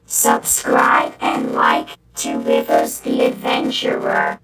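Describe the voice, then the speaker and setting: A woman's voice: slow pace, loud music behind the voice, low-quality recording. A woman's voice processed with a robot filter to sound like a mechanical robot. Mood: flat, mechanical.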